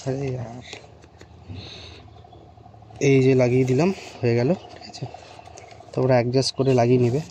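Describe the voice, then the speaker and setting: A man's voice: mid-range voice, level tone, normal speed, long pauses. A man giving a casual, improvised hands-on tutorial to viewers, talking informally as he works. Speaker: motorcycle vlogger presenting an unboxing and installation. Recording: clear background ambient noise. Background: background noise.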